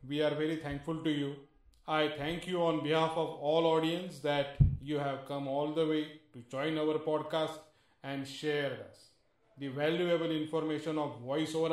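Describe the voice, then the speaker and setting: A man giving formal, gracious closing thanks as a podcast host. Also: on the high side. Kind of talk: closing remarks. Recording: roomy room with faint music behind.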